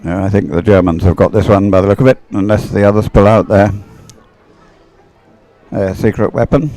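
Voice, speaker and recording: A man giving low-key live race commentary in halting, broken phrases. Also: fairly even intonation, dark tone, poor audio.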